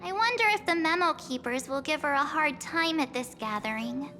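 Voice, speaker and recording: Perky teen girl adventurer, anime game, really high bright voice, reverby with some music. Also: moderate pace. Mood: hopeful. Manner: perky, expressive. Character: bubbly, kind-hearted teenage girl adventurer.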